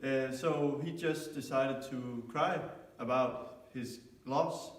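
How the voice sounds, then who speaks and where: A man improvising a fairytale as a storyteller, with pauses. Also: medium pitch. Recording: echoey room, distant microphone.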